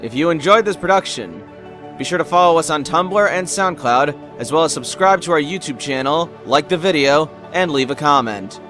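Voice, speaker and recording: Male narrator, scripted dramatic storytelling, bright crisp-edged tone, light music underneath. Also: a little high, lively intonation, normal speed.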